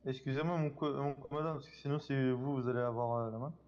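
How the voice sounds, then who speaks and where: A man's voice: medium pitch, fairly even intonation, fast talker, darkish tone. A man in casual online police role-play, hesitant and muttering as he works things out. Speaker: player acting as a police sergeant. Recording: reverberant, slightly dull gaming voice chat.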